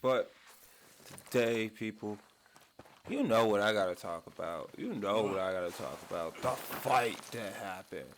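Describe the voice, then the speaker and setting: A man who is sick with a raspy voice, hosting his channel and ranting in a casual, profane way from his man cave at home. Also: fairly low pitch, sing-song, unhurried, slight background noise. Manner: profane, heated rant. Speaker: channel host.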